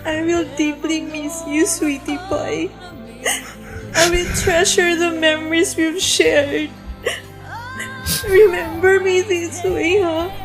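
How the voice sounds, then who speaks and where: High-voiced tender young sweetheart. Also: female voice, fairly even intonation, slow, pauses often, full character voice, poor audio. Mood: tearful, tender. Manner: sentimental, affectionate, emotive. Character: tender, guilt-ridden young mother and sweetheart. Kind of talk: radio drama acting. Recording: music bed under the voice. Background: background music.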